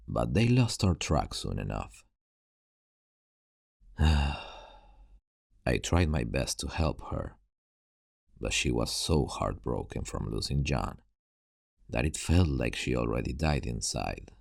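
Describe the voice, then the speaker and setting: A man playing a weary, haunted survivor of a zombie apocalypse in an ASMR roleplay, telling his story in a hushed, grave, halting way. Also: rumbling low, very animated, vocal fry, no echo, studio quality, heavily acted. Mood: heavy, regretful.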